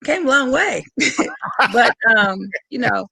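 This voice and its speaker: A woman from San Francisco with a hoarse voice, a boxer speaking candidly and passionately as a guest on a livestreamed interview over a video call. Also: on the high side, big pitch swings, slow pace, sharp tone, low-quality recording. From San Francisco, USA. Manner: candid, passionate, talks straight to viewers.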